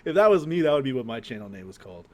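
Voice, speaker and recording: A man's voice: medium pitch, big pitch swings, very fast, a little mellow. A man co-hosting a podcast in casual, joking chat, with crude, sweary banter. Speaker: podcast co-host. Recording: noisy background.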